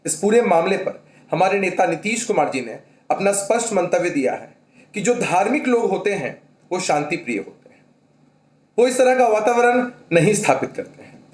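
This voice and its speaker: A man's voice: high-pitched, sing-song, moderate pace. A man delivering a formal press statement as a party spokesman. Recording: echoey room.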